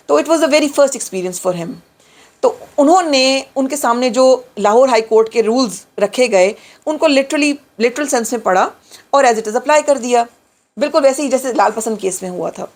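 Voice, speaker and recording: A middle-aged Pakistani woman giving an explanatory lecture, emphatically repeating and rephrasing key points and mixing in English phrases. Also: fairly high pitch. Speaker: lawyer giving a law lecture.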